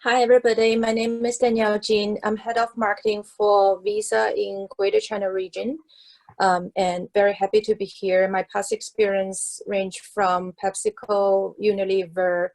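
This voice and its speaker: A woman's voice: mid-range voice, a little mellow, poor audio. A woman marketing executive introducing herself on a panel in a composed, matter-of-fact way. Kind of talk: self-introduction.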